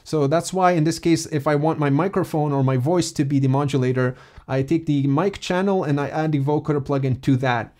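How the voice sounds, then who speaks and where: A man in his thirties explains software step by step in an informal, methodical tutorial style, recorded on a close mic. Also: on the high side, brisk. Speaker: music production tutor. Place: small home studio room.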